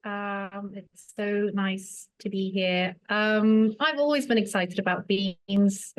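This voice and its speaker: A woman's voice: medium pitch, fairly even intonation, takes it slowly. A woman chef and cookbook author from Tanzania, talking hesitantly with many fillers on a webinar video call. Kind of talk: webinar talk.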